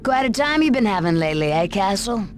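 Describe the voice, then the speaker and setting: An actress playing a tough, skeptical police lieutenant in a video game interrogation, speaking in a pointed, sardonic way. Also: female voice, mid-range voice, big pitch swings, fast, faint music behind, lightly acted. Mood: probing. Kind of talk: video game cutscene acting.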